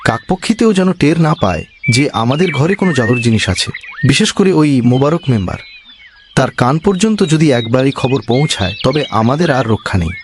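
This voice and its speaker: A man's voice: medium pitch, very animated, brisk, close to an everyday voice. Man acting a humble, cautious village husband, noisy ambience. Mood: worried warning. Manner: earnest, moralising, cautioning. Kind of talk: cartoon voice acting.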